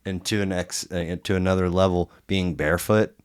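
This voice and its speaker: Very deep, smooth-voiced male host. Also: steady tone. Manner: halting, many restarts and fillers. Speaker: podcast host. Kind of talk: casual podcast interview.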